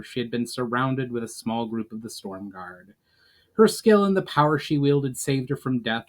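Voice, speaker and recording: Male author-narrator for fantasy storytelling, medium pitch, measured and formal delivery. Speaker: author reading own book. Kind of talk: dramatic audiobook-style narration of fantasy fiction.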